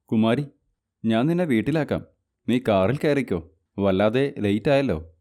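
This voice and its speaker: A man's voice: fairly low pitch, lively intonation, measured pace, mellow. A man doing an earnest office suitor. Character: office man, persistent suitor, later a stepfather.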